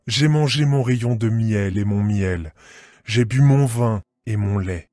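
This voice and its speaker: A man as a slow, mellow bridegroom. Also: fairly low pitch, no room sound, studio quality. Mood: contented, solemn. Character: contented bridegroom savoring his garden, solemn. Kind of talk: dramatized scripture reading.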